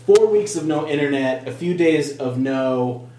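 A man's voice: a little high, animated, measured pace, mellow, far from the mic, a little hiss or hum. Casual male podcast host in echoey room. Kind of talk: casual chat. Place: small room. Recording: roomy small room.

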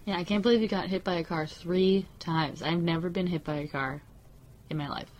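A woman's voice: lowish voice, steady tone, brisk, slight background noise. A woman co-hosting a podcast, chatting casually and putting questions to her partner in everyday words. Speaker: podcast host. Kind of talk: casual chat, conversational questioning.

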